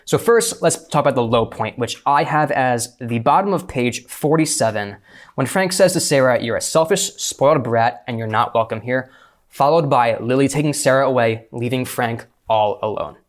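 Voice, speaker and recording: A man's voice: medium pitch, lively intonation, normal speed. A man speaking as a script consultant, giving a methodical, articulate prepared critique in a panel discussion.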